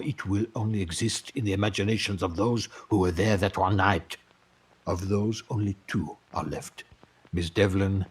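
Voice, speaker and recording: A man's voice: on the low side, slightly rough. A man playing a vain, bitter, jealous film producer and ex-convict in an audio drama, speaking in a pompous, theatrical, self-justifying way.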